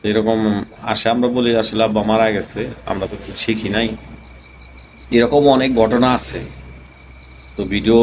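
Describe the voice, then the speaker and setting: Low voiced guy rambling thru a ghost story, crickets + noise behind. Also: darkish tone. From Tangail, Bangladesh. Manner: rambling, many fillers and restarts. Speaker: channel host. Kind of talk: casual storytelling.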